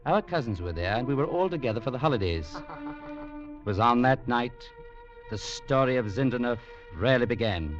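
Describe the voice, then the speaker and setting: An actor playing a wistful young English gentleman, narrating in a measured, reflective 1940s radio drama style. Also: mid-range voice, lively intonation, close to an everyday voice. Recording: muffled 1940s radio recording with music.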